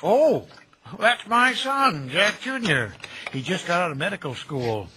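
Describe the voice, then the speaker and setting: An actor playing a kindly, folksy older cemetery caretaker in an audio drama, chatty and gentle in a homespun, nostalgic way. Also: male voice, high-pitched, very animated, a little slow, background noise. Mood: warm, chatty. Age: middle-aged. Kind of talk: naturalistic radio drama dialogue.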